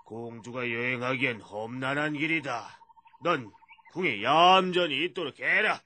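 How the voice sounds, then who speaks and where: A man's voice: medium pitch, a little slow, pushed and bright, no room sound. A man voicing a dignified, trusting middle-aged king and father in a children's puppet show, with stately old-fashioned speech. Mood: stately, reassuring.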